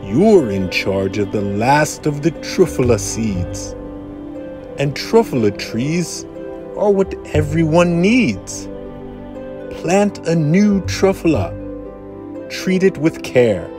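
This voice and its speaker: A man's voice: a little high, very animated, slow, music in the background, voice pushed up. A man voicing an old industrialist hermit in a rhyming storybook, dramatic and emphatic, lingering on words. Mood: urgent, hopeful. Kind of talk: rhyming children's storybook read-aloud.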